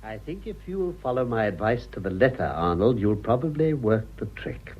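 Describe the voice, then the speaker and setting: An actor playing an older, worldly English gentleman and scheming father in a British radio drama, dryly amused and urbane. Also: medium pitch, sing-song, moderate pace, lo-fi sound, a little hiss or hum, close to an everyday voice. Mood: wry, worldly. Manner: dry, drawling, amused.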